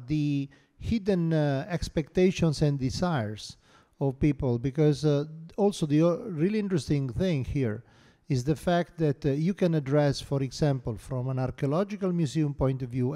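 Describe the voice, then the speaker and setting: A man's voice: on the high side, warm tone. A man moderating a conference panel, speaking fluently and reflectively. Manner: articulate, reflective. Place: theatre hall.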